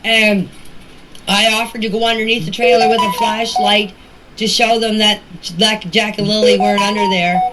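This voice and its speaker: A woman's voice: medium pitch, flat delivery, moderate pace, loud music behind the voice. A woman giving a casual, rambling personal account in plain, folksy words, recorded as voice messages. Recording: noisy voice-message clips with background ambience.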